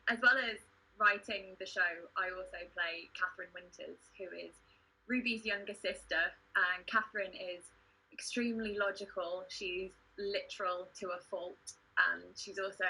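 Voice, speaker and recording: A woman hosts in a casual presenting style. Her voice is a little low, very bright and forward, with a husky edge. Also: low-quality recording. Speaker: livestream host, playwright and actor.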